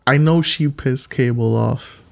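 A man co-hosting a casual movie podcast, animated and playful, often jumping in over his partner. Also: mid-range voice, unhurried, vocal fry. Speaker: podcast co-host.

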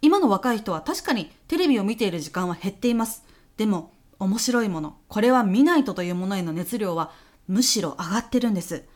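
A woman playing an earnest, polite businesswoman pitching to a client in a comedy audio drama, speaking deferentially. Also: expressive, a little fast, natural voice. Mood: earnest, persuasive.

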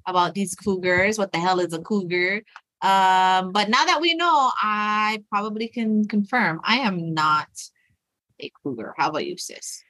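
A woman's voice: medium pitch, lively intonation, a little slow, bright, forceful tone, no room sound. A middle-aged woman co-hosting a casual chat, easygoing and rambling as she talks through her thoughts. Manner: rambling, full of fillers and restarts, easygoing. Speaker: podcast co-host.

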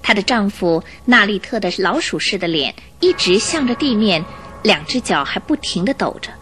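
A woman narrates a radio drama in a medium-pitched voice. Her delivery is scripted storytelling, with light music playing underneath. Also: expressive, moderate pace, a bit bright. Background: background music.

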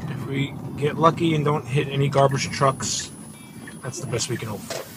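Laid-back New York rideshare driver. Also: male voice, medium pitch, normal speed, heavy background noise. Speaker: rideshare driver and podcast host. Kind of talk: casual chat. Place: driving a car.

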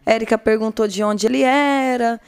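A woman's voice: a little high, fairly even intonation, normal speed, a little mellow, clear, resonant tone. A woman with a Brazilian accent telling a story casually and playfully, in colloquial language, acting out the dialogue as she goes. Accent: Brazilian Portuguese. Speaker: podcast host telling listeners' dating stories. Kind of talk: casual comic storytelling chat.

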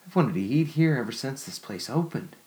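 A man's voice: animated, brisk, a little mellow, lightly acted. A man putting on a breathless young woman. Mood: wonderstruck. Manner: high, breathless, stammering. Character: excitable young woman, wide-eyed and dreamy.

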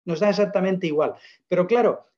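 A man's voice: high-pitched, brisk, darkish tone, dull audio. A man, giving an emphatic, persuasive explanation full of rhetorical questions in a casual interview. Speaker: guest presenting a product.

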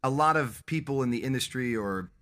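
A man's voice: medium pitch, brisk, a bit bright. A man, a metal band guest, talking casually and rambling emphatically, swearing at times. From Las Vegas. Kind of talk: casual podcast chat.

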